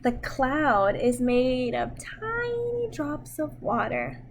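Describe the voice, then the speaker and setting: A woman reads a story to young children in a high, very lively voice. She takes her time, stressing words expressively and keeping things simple for small listeners. A little background noise sits behind her. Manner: expressive, simple child-directed delivery with dramatic pauses and emphasis. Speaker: storyteller reading a picture book to young children. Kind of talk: children's read-aloud storytelling.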